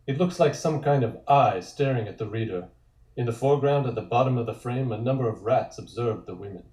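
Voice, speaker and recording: Mellow-voiced man narrating, echoey room. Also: mid-range voice, fairly quick. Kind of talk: reading comic panel directions at a table read.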